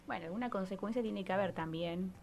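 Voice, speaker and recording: A woman's voice: mid-range voice, fast, a little mellow, faint music behind. An Argentine woman explaining things conversationally as a psychologist on the radio, often adding short tag questions to seek agreement. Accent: Argentine Spanish. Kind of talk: conversational explanatory interview talk. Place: radio studio.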